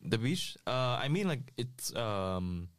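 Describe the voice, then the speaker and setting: A man's voice: mid-range voice, big pitch swings, takes it slowly, no echo. A young man from Vietnam chatting casually as a podcast guest, hesitant with many fillers and restarts. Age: young adult. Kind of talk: casual group chat.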